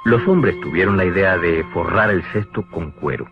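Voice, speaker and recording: A man's voice: deep voice, normal speed. A man narrating an educational cartoon from a script in a didactic, explanatory manner. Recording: dull lo-fi old cartoon soundtrack with music. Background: clear background music.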